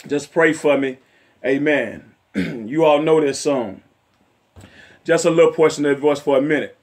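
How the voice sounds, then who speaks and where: A middle-aged male evangelist with a raspy voice, preaching fervently from a chair in his living room and sprinkling in amens and hallelujahs. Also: fairly high pitch, a little slow. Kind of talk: home sermon and Bible study. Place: home living room, seated in a leather chair.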